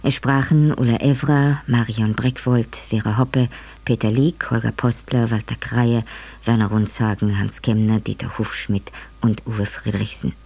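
A man's voice: mid-range voice, fairly even intonation, measured pace, a little mellow, slightly rough. A man making formal scripted announcements as a radio announcer.

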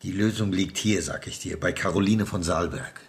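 A murder detective in a radio crime drama. His voice is very low, and he speaks bluntly and confidently, with dry, direct phrasing. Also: normal speed. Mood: assertive. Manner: direct, formal questioning, dry.

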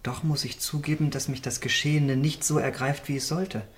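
Male actor for impulsive, self-absorbed young gentleman in radio play; lighter high register, earnest theatrical delivery. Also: level tone, moderate pace, close to an everyday voice. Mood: dazed reflection. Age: young adult. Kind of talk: literary radio drama acting.

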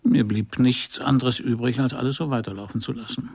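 An actor playing a wry, hard-boiled private detective in a radio crime play, with a dry, laconic delivery. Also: male voice, mid-range voice, steady tone, a little fast, gravelly, a little mellow, breathy edge. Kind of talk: first-person crime radio play narration and dialogue.

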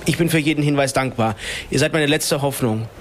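Actor as an earnest, halting young boyfriend. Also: male voice, mid-range voice, lightly acted. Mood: earnest, desperate. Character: worried boyfriend of a missing woman, appealing earnestly. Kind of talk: naturalistic radio crime drama acting.

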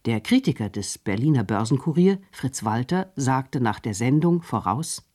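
Man, radio narrator, scripted, formal, measured, mid pitch. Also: sing-song, a little mellow.

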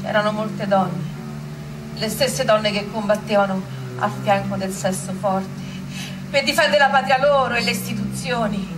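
A woman's voice: medium pitch, heavy background noise, natural voice. A woman playing an earnest Roman townswoman giving theatrical eyewitness testimony of a revolution. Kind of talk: theatrical first-person testimony.

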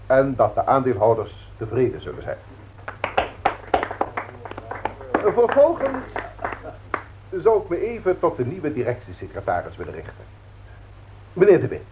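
An actor with a Dutch accent playing a formal, long-winded company director in a 1960s radio drama, speaking in measured, pompous speeches. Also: male voice, mid-range voice, a little mellow, slight background noise, close to an everyday voice. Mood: ceremonious. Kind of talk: 1960s radio drama acting, boardroom address. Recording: thin phone-like old radio recording.

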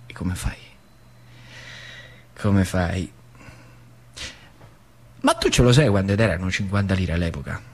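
A man's voice: low voice, unhurried, long pauses, slightly rough, full character voice. An actor playing an older working-class man from the factories, telling his memories in a colloquial, theatrical monologue with a central Italian Lazio accent. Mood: wistful, earthy. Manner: colloquial, animated, repetitive storytelling. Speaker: stage actor narrator. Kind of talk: theatrical monologue storytelling in dialect.

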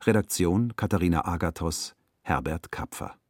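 A man making a formal radio announcement in a measured, factual way. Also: sits low, animated, darkish tone, a little hiss or hum.

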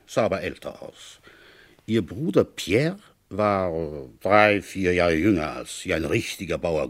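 An actor playing a seasoned middle-aged village police commissioner who narrates and questions with deliberate, dramatic phrasing in a radio drama. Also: male voice, sits low, husky, exaggerated voice. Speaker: detective narrator.

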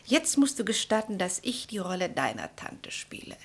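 A woman's voice: mid-range voice, very animated, moderate pace, creaky, slightly rough, exaggerated voice. An actress in a German radio play voicing a meddling, chatty and self-assured old society lady, inquisitive and bossy in a theatrical way. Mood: playfully bossy. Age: older.

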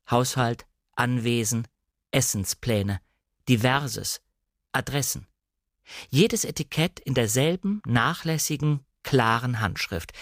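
A man reading literary narration in standard German, with measured, carefully articulated storytelling. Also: mid-range voice, dry recording, clean studio sound. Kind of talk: scripted literary narration, audiobook-like radio reading. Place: dry studio.

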